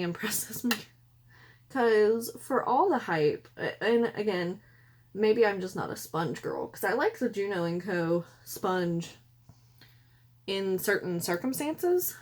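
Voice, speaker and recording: A woman, hoarse and losing her voice, chatting casually through a makeup tutorial at home. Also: medium pitch, slight background noise. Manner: chatty, rambling, self-correcting. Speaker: beauty vlogger. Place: room at home by a window.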